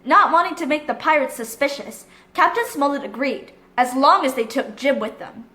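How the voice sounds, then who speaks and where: A woman narrating a children's book in an expressive read-aloud style, recorded in an echoey room. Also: sits high, moderate pace.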